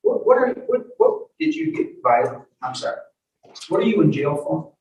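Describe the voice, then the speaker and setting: A man working as a defense lawyer in court, asking questions and making requests in a halting, repetitive way. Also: high-pitched, wildly swooping pitch, a little mellow. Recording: echoey courtroom, distant mic, faint noise.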